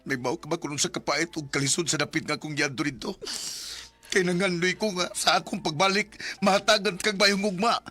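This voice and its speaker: Forceful, earnest radio drama lover, Bisaya. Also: male voice, sits high, fairly even intonation, fairly quick, faint music behind. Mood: hurt, reproachful. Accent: Bisaya Filipino. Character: earnest man courting and grieving in a love drama.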